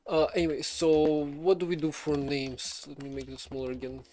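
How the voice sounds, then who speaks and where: Young guy streaming code, kinda high voice, lots of ums and restarts, faint music behind. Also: little pitch movement, normal speed, darkish tone. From Belarus. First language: Russian. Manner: rambling, many fillers and restarts, laughs often. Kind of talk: casual live coding stream chat.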